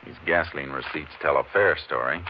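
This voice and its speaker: An actor playing a steady, methodical Texas Ranger investigator in a terse, measured way, in 1950s radio drama style. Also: male voice, very deep voice. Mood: matter-of-fact, assured. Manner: terse, measured, matter-of-fact. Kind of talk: 1950s radio western detective drama acting. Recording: dull, noisy old 1950s radio recording.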